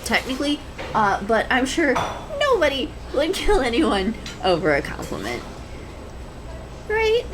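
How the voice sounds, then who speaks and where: A woman's voice: high-pitched, huge pitch swings, measured pace, exaggerated voice. A woman playing a shy, anxious, adorkable young woman in an intimate roleplay audio, rambling hesitantly and stammering. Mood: anxious, spiraling. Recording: clear background coffee-shop ambience noise. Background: coffee shop ambience sound effects.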